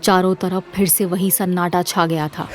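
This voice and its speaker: A woman narrating a scripted horror story in a measured, dramatic storytelling manner. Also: lowish voice, level tone, faint music behind.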